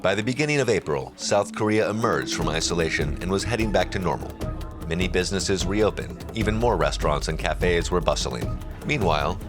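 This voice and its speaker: Male documentary narrator, deep register, scripted read, prominent music bed underneath. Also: lively intonation, a little fast.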